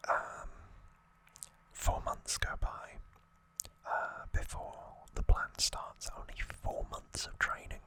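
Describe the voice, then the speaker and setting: Bright, breathy ASMR whisperer. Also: slow. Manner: hushed, conversational explaining. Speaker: ASMR host recapping an anime series.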